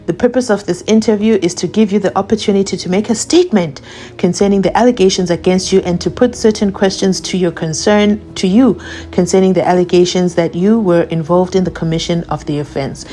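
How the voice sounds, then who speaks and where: South african woman reading out a document slow, mid pitch, chatty asides in between. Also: warm tone. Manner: reads slowly and deliberately, adding chatty asides. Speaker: commentary channel host. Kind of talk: reading a document aloud with commentary.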